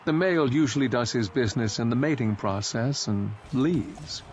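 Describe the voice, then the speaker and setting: A man's voice: mid-range voice, moderate pace, darkish tone, a little hiss or hum, close to an everyday voice. A man reading aloud voicing a young woman soldier who argues her point insistently. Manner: insistent, argumentative.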